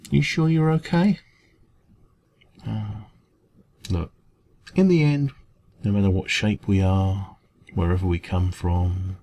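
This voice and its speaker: A man with an English accent playing a kind café dragon in an ASMR roleplay, talking gently and reassuringly in a creaky voice. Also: low voice, full character voice. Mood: caring. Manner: gentle, reassuring, hesitant conversational encouragement. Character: kind, caring male dragon who runs a café. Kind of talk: ASMR roleplay, comforting one-to-one talk.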